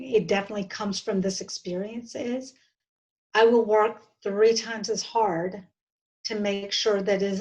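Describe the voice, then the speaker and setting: A woman of about fifty with an American accent, talking earnestly and haltingly as a guest in an interview, often restarting her sentences. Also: mid-range voice, low-quality recording. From southern United States. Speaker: podcast guest. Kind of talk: reflective interview conversation.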